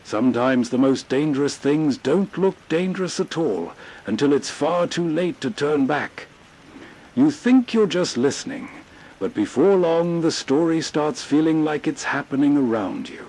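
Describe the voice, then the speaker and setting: A man's voice: on the high side, lively intonation, a little slow, darkish tone, rough recording. A man reading a scripted introduction in the style of suspense narration. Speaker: program introducer.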